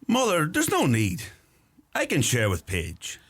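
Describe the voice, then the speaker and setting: An actor playing a young Irish son in a radio play, eager and placating. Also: male voice, a little high, wildly swooping pitch, a little slow, fairly bright tone, slightly rough, exaggerated voice.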